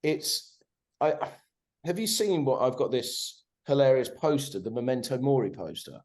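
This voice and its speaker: A man in his forties talks as a podcast guest over a video call. He swears freely and stays animated. He keeps tagging his points with "right?". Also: mid-range voice, normal speed. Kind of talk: casual conversation.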